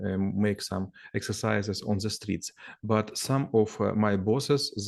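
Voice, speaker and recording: A middle-aged man speaks English in a low, gravelly voice. He talks haltingly, often stopping mid-phrase to find the right word and restarting with ums and uhs. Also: darkish tone. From former Soviet Union. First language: Russian. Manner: halting, searching for English words, many fillers and restarts. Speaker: guest answering questions. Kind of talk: casual conversation in a second language.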